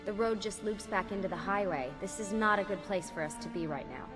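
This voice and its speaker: A woman's voice: medium pitch, a little fast, in a large hall, music in the background, natural voice. An actress voicing a level-headed, wry young woman on a covert team in a video game cutscene. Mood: wry, focused. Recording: loud game soundtrack under the voice.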